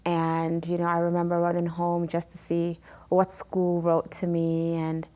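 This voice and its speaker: A woman in her thirties, originally from Jamaica, telling her life story as an interview guest with frequent pauses and fillers. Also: on the low side, monotone, dark tone. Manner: many ums and pauses, earnest retelling.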